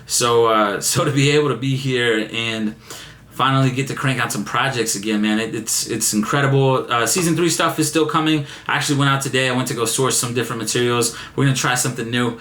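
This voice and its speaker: Young male vlogger, energetic and casual, a little raspy, echoey room sound. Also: medium pitch, fairly quick. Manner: excited, repeats words, says man a lot. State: still a bit raspy after laryngitis. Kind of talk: casual vlog chat to camera.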